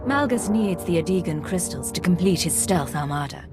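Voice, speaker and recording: An actress playing a cool, resolute Imperial agent in a game, with firm, businesslike speech. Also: female voice, on the low side, expressive, normal speed, over music, lightly acted. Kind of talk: video game cutscene acting.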